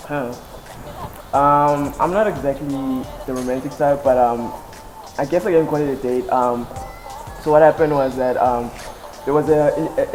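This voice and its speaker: A man's voice: mid-range voice, darkish tone. Hesitant young man. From South Africa (Eastern Cape). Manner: hesitant, many ums and "actually". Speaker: first-year law student, interviewee. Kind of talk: casual interview answers. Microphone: headset. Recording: background music under voice.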